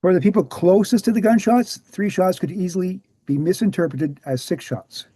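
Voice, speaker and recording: A man playing an earnest, weary veteran researcher explaining things in a measured way at a screenplay table read. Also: high voice, warm tone, breathy edge, no room sound, clean studio sound. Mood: explanatory.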